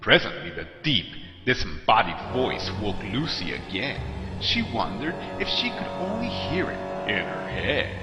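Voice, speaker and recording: A man narrates a horror tale with suspenseful, dramatic delivery. His pitch moves in lively swings, and his voice sits in a long, roomy reverb over clearly audible music. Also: measured pace. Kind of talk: scripted scary story narration. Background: clear background music.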